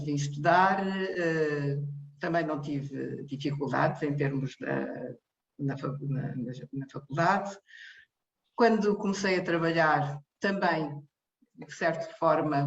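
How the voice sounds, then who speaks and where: A woman's voice: low voice, unhurried, vocal fry, a little hiss or hum. Hesitant woman in an echoey, muffled room. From Cape Verde. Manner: hesitant, many fillers and restarts. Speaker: technical director of a social care charity. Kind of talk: personal testimony.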